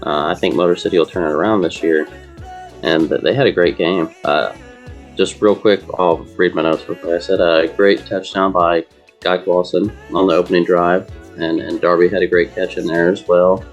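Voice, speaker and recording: Low-voiced man with flat delivery. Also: normal speed, faint music behind. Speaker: sports show panelist. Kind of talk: casual game analysis.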